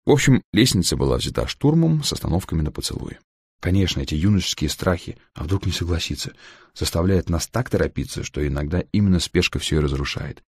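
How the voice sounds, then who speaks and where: Very deep, gravelly male narrator. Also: expressive, fast, dry recording, studio quality. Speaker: audiobook narrator. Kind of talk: first-person humorous story narration, scripted.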